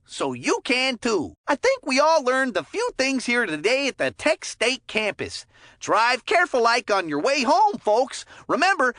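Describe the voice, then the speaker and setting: A woman voicing a folksy, excitable, goofy hockey commentator in a cartoon kids' sports game, with hammy announcer patter. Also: sits high, no echo, studio quality, exaggerated voice.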